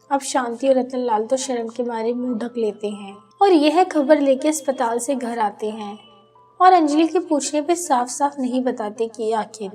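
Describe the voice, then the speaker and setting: A female narrator with a high voice tells a scripted cartoon story. Soft music plays underneath her narration.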